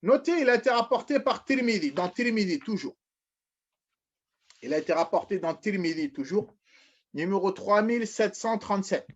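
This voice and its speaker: A man's voice: high voice, expressive, moderate pace. A man giving a religious lecture, repeating phrases, often restarting, and addressing his listeners as brothers and sisters. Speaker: preacher. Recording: dull lo-fi recording.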